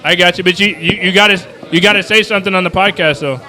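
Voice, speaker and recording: A man's voice: high-pitched, quick pace, sharp tone. A man from Wisconsin hosting a podcast, bantering and joking with strangers in a crowded dive bar. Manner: joking, teasing, repeats himself. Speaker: podcast host. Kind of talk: casual bar chat. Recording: noisy bar with music and crowd. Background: music and crowd chatter.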